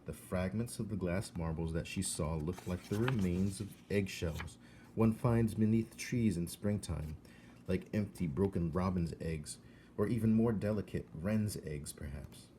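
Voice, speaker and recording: A man reading a children's novel aloud as narrator, in an expressive storytelling style. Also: sits low, measured pace, slight background noise. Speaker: storybook reader.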